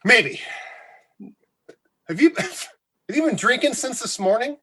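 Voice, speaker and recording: A man playing an awkward, sarcastic radio colleague with a husky voice and halting delivery in a comedy radio drama. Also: very high-pitched, very animated, pushed and bright, exaggerated voice. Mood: indignant, awkward. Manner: halting, hesitant, stammering.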